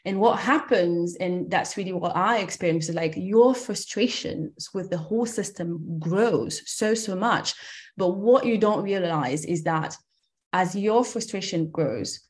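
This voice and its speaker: A woman from Cameroon in her thirties speaks as a guest founder in a relaxed interview. Her voice sits a little low, and she talks in a reflective way, with frequent ums and small laughs. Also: unhurried. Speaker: skincare company founder, guest. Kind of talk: conversational interview.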